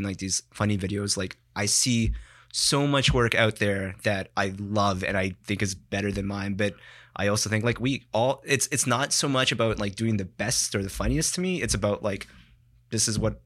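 Dude guesting on a podcast, lowish but bright voice, loose thinking-out-loud chatter. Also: male voice. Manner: informal, thinking aloud with frequent "like". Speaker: podcast guest, digital content creator. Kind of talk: casual chat. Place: podcast studio.

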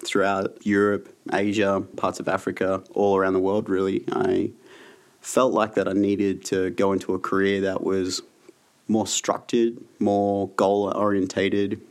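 Deep gravelly Australian man. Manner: relaxed, reflective storytelling. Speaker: guest, yoga teacher and veteran. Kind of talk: casual interview.

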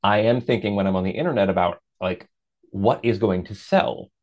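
Deep-voiced reflective man in his thirties. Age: 30s. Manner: reflective and measured, lifts into animated quoted asides. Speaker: guest. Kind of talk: casual interview chat.

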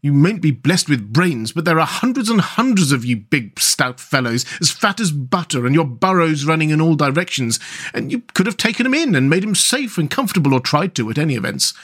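A man's voice: fairly high pitch, very animated, fairly quick, fairly bright tone, husky, full character voice. A man voicing a cheerful, hearty and talkative otter who speaks in a breezy storybook manner. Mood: scolding.

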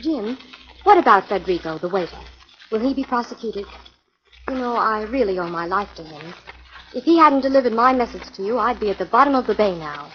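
A woman's voice: medium pitch, expressive, brisk, slight background noise, natural voice. A woman playing a playful, flirtatious sidekick. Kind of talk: 1940s radio acting. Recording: muffled 1940s radio recording.

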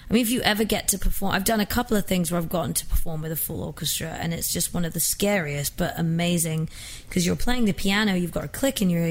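A woman's voice: lowish voice, slight background noise. A young woman from England with an accent blended with American, chatting casually as a singer guest in an interview, often restarting her sentences. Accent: mixed English and American.

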